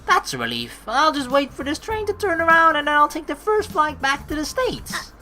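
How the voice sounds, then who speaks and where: A woman's voice: high-pitched, very animated, moderate pace, sharp tone, low-quality recording. A woman hosting a let's play, joking and exclaiming as she comments and reads game text aloud. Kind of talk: gameplay commentary mixed with reading game narration aloud. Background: game music.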